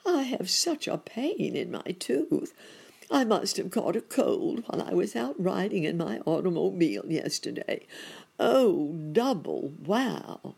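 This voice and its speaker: Lady doing a fussy old rabbit gent, high wailing cries, bit raspy, bouncy pitch. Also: big pitch swings, unhurried, darkish tone, exaggerated voice. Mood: fretful. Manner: high, fretful, wailing exclamations.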